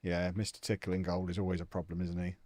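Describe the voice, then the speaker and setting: A man's voice: low voice, little pitch movement, quick pace, a little mellow, no room sound. A young man chatting casually and jokily on a live stream, answering viewers' comments as he plays a football management game.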